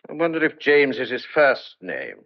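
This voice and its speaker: A man's voice: medium pitch, wildly swooping pitch, moderate pace. An actor playing an opinionated, teasing older country father in an old-fashioned audio drama. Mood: musing. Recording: dull, muffled lo-fi audio.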